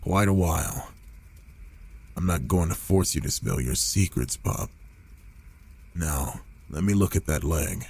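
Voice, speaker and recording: A man plays a reclusive male werewolf for an ASMR roleplay. His voice is extremely deep and he takes his time, pausing often between phrases. He comes across as gruff yet reluctantly caring and protective. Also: a little mellow. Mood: awkward, softening. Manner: slow, halting, murmured delivery with pauses.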